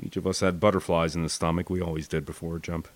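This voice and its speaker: An American man with a fairly low voice narrates a wartime memoir. He reads from a script like a storyteller, expressive and dramatic. The recording is dry, with very little room sound. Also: fast, no room sound. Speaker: audiobook narrator. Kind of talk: scripted first-person war memoir narration.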